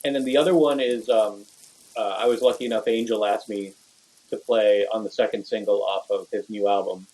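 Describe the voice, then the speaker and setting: Young male musician guest, low-pitched, easygoing, loose filler-heavy chat. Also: steady tone, brisk, darkish tone, rough recording. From Venezuela. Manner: rambling, full of "like" and "you know". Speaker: guest bass player. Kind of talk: casual podcast interview.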